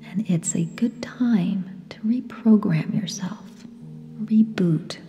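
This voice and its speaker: A woman with a soft, breathy, whispery voice leads a guided meditation in short, gentle phrases with long pauses. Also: mid-range voice. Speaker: yoga nidra guide. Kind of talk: guided meditation, slow and soothing. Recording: long reverb, soft music behind. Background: sound bath music.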